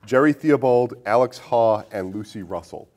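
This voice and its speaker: A man's voice: medium pitch, moderate pace, darkish tone, a little hiss or hum. A man hosting a casual podcast chat, with a jokey way of talking. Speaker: podcast host.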